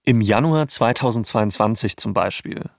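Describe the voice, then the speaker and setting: A man's voice: lowish voice, moderate pace, gravelly, dry recording, clean studio sound. A man with a standard German accent reading scripted narration as a podcast co-host. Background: music bed.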